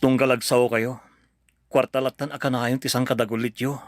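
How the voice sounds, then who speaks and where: A man's voice: mid-range voice, little pitch movement, a little fast, no echo, studio quality, lightly acted. A man playing an earnest, pained son and devoted husband in a radio drama, pleading, with an Ilocano accent. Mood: pleading, reproachful.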